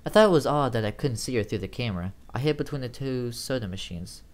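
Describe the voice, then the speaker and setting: A man's voice: medium pitch, expressive, fast talker. A man reading a first-person horror story aloud in a dramatic, theatrical way, sometimes stumbling over words. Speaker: amateur horror story reader.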